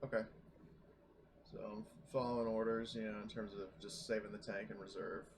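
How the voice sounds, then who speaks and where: A man's voice: lowish voice, steady tone, fairly quick, gravelly, slight background noise. A man talking casually as a player in a tabletop roleplaying game, hesitant and questioning as he thinks aloud on a voice call. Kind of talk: casual game-session chat.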